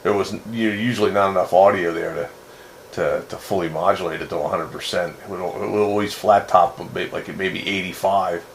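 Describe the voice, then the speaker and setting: Guy with lowish voice chatting on a radio net, stumbles and repeats himself, bit of hiss. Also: steady tone, a little fast. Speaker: amateur radio net operator. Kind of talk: casual on-air radio chat. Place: over a two-way radio. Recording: noisy radio transmission with static.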